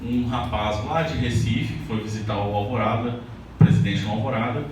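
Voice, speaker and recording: A man's voice: on the low side, steady tone, moderate pace, background noise. A man from southern Brazil giving a loose, conversational talk to an audience at a book launch, often restarting his sentences. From Paraná, Brazil. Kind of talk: speech to an audience. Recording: echoey hall, mic far off, audible ambience.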